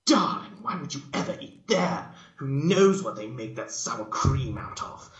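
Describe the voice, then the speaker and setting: A man's voice: medium pitch, sing-song, unhurried, a bit bright, roomy sound, lo-fi sound, pitched above the performer's own voice. A man voicing a haughty, refined female cartoon unicorn with a theatrical, appalled manner in a fan story reading.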